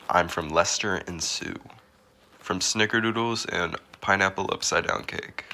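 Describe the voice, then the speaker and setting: A man reading a personal poem aloud in a slow recitation. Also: sits low, pushed and bright.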